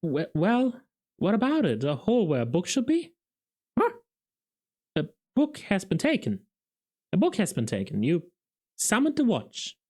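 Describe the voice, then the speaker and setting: A man's voice: high voice, huge pitch swings, a little slow, long pauses, a little mellow, voice pushed up, full character voice. A man voicing an earnest, naive young male city watchman, eagerly puzzling out guesses.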